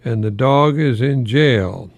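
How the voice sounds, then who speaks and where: An older American man hosting a folksy solo radio talk in a halting, rambling, easily amused manner. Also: medium pitch, animated, no room sound. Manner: chuckles often at his own remarks, halting and rambling. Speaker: radio host, longtime English professor.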